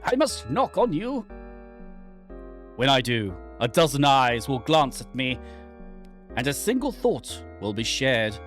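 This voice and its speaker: A man's voice: mid-range voice, very animated, slow pace, pauses often, a bit bright, soft background music. A man voicing an anxious, tormented submariner who narrates his own humiliation in a dramatic, agitated way. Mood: dread. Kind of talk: dramatic reading of a horror visual novel.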